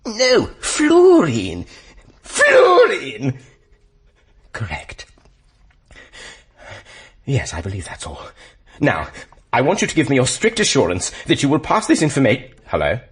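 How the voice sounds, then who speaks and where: A posh English air force officer in a comic radio drama. His voice is very husky and swings wildly in pitch as he stammers with indignant, exasperated politeness. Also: huge pitch swings, takes it slowly, pauses often, full character voice. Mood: flustered urgency. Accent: British, upper-class English. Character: flustered, polite British RAF officer, clipped and indignant. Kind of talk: comic radio play acting.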